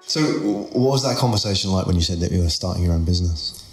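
A man hosting a podcast interview around a table, relaxed and curious, asking follow-up questions. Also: deep voice, quick pace, soft background music. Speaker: podcast host. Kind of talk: conversational interview. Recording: roomy echo.

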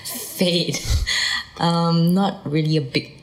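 A woman, an entrepreneur guest telling her story in a brisk, matter-of-fact way. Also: on the low side, steady tone. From Singapore. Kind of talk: casual interview answers. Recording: roomy echo.

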